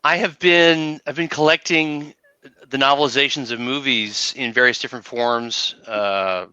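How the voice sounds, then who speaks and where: A man's voice: medium pitch, fairly even intonation, a little slow, pushed and bright, smooth, no room sound. A man talking casually as a panelist, over dull remote-call audio. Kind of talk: casual chat.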